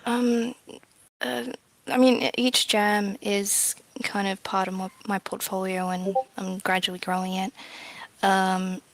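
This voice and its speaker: A woman's voice: medium pitch, little pitch movement, takes it slowly, creaky, no echo, low-quality recording. A woman giving casual, informal interview answers as a guest game developer, in a self-effacing way.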